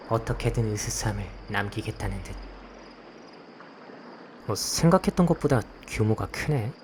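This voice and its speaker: Man playing curt young investigator's helper, deadpan, low voice, visual novel drama, clear rain and cricket noise. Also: natural voice. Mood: dry, grumbling. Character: dry, grumbling young man, reluctant occult investigation helper. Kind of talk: visual novel acting with first-person narration.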